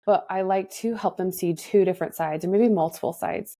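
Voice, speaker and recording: A woman's voice: on the low side, a little fast, warm tone, dry recording, studio quality. A woman in her thirties, a therapist guest on a podcast, speaking in a thoughtful, reflective way. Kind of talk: casual podcast conversation.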